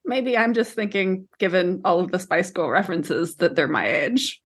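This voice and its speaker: A woman's voice: lowish voice, normal speed, breathy edge. A woman in her thirties co-hosting a podcast, talking casually through a book discussion. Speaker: podcast co-host.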